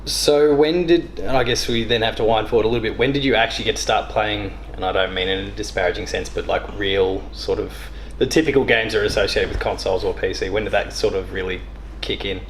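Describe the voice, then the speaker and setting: A man's voice: lowish voice, steady tone, brisk, slight background noise, poor audio. A man hosts a casual podcast interview, speaking informally with roundabout phrasing.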